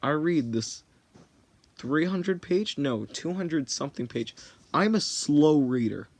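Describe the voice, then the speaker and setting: A man's voice: fairly high pitch, animated, slow. A teenage boy rambling through a story in a casual, jokey way, often stopping to correct himself. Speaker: vlogger.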